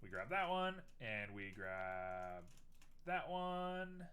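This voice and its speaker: A man's voice: fairly low pitch, very animated, takes it slowly, a bit bright. A young man streaming live while making art, chatting casually and teasing himself as he works. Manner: chatty, self-mocking, thinks aloud while working.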